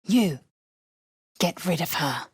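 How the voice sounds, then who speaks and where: An actress playing a proud, ruthless alien warrior woman in a video game, speaking with clipped, commanding disdain and a husky voice. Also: fairly low pitch, lively intonation, takes it slowly, no room sound, full character voice. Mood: stern, commanding. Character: stern, imperious female alien githyanki warrior, proud and ruthless. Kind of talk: scripted video game character lines.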